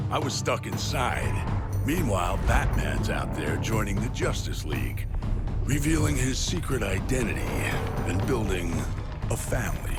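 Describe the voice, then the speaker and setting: An actor playing a hardened, world-weary middle-aged mercenary narrating dryly in a video game, over loud music. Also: male voice, fairly low pitch. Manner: dry, reflective narration.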